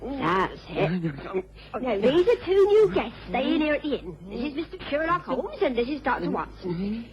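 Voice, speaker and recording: An actress playing a sensible, protective English innkeeper's daughter in a radio drama, firm and soothing. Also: female voice, high voice, sing-song, normal speed. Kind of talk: British radio drama acting. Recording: dull old radio recording, clear noise.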